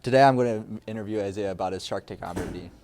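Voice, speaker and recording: A teenage boy working as a student reporter, asking quick, casual interview questions. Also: lowish voice, darkish tone.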